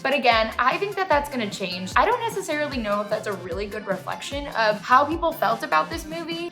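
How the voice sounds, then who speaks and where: A woman's voice: high voice, bright, forceful tone. A young American woman hosting a casual movie review, gushing and emphatic, with slangy phrasing. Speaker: movie podcast host.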